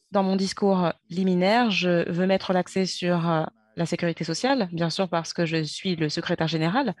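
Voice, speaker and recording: A woman giving a simultaneous interpretation of conference speeches, halting, with pauses and self-corrections. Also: mid-range voice, darkish tone, dry recording.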